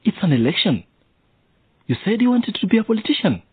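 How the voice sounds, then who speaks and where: Radio drama: soothing, cautioning father, husky, lively intonation, narrowband. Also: sits high, a little fast, no room sound. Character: soothing, worried middle-aged father of a young activist. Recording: narrow phone-like sound.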